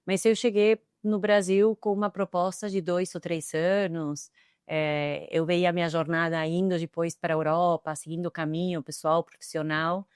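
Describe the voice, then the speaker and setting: A middle-aged woman with an Argentine accent, speaking as a guest in an interview with a smooth, resonant voice pitched fairly low for a woman. She answers thoughtfully and often pauses on hesitant fillers. The recording is close and dry. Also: flat delivery, no room sound. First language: Spanish. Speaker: guest, tech executive.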